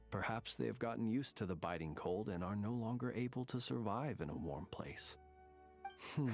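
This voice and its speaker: An actor playing an ancient dragon prince in a video game, calm and reflective, with formal, measured, courteous speech. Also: male voice, medium pitch, creaky. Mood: wistful. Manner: formal, measured, old-fashioned courteous phrasing. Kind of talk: scripted game character dialogue.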